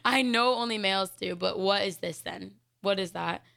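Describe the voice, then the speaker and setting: A woman's voice: medium pitch, normal speed, gravelly, pushed and bright. A young woman co-hosting a radio show in chatty, casual talk, using "like" often and laughing easily.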